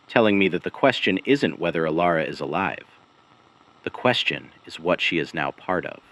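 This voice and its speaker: A man performing as a haunted investigative broadcaster in a serial audio drama, with a low-pitched voice that moves expressively. He sounds weary and grave, setting out each clipped phrase deliberately and leaving dramatic pauses. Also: a bit bright, muffled recording, full character voice. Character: weary, haunted male investigative broadcaster piecing together a conspiracy. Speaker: broadcast host and narrator of a fictional missing person investigation series.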